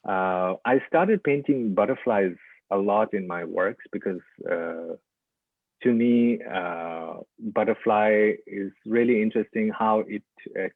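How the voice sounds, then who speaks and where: A man from Nepal walks through his artwork in a slow, halting way, pausing on "uh" and "like". His voice sits very low, with a gravelly and husky grain. The sound is thin and boxed in, like a dry phone line. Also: no room sound. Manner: halting, full of "uh" and "like" fillers. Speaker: artist presenting his work. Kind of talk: informal lecture with slides. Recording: tinny, dry phone-like audio.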